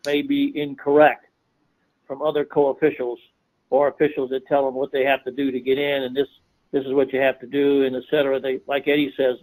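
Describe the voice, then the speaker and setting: A man's voice: medium pitch, a little mellow, low-quality recording. A man answering in a group interview on a conference call, explaining his points in an instructive, emphatic way. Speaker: officiating coordinator, interview guest.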